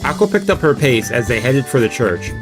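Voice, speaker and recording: A man's voice: medium pitch, sing-song, brisk. Casual man streaming a visual novel read-aloud. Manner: casual asides, stumbles over long words. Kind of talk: let's play commentary and read-aloud narration. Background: video game music.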